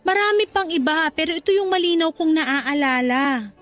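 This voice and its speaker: A woman's voice: extremely high pitch, lively intonation, normal speed, fairly bright tone, soft background music. A woman playing a sweet, teasing young worker being courted in a Tagalog radio romance, with a coy, coaxing way of talking. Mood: earnest, tender.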